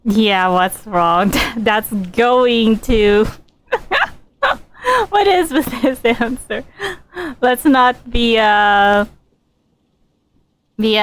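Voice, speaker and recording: A woman game streamer giving casual live commentary, halting and reacting aloud as she goes. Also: medium pitch, clean tone.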